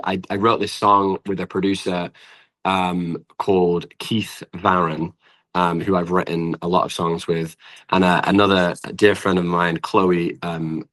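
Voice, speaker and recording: A man's voice: low-pitched, a bit bright. A man with a northern English accent chatting casually as an interview guest, rambling informally with lots of "like". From Preston, north of England. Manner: rambling, informal, often says "like". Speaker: guest singer-songwriter and producer.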